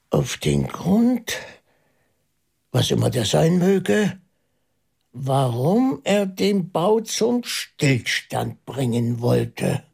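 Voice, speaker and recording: A pensive priest sleuth in a German radio drama. The voice is fairly high and husky, rising and falling widely as he reasons. He is probing and deliberate. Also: exaggerated voice. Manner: deliberate, probing, dropping into hushed asides.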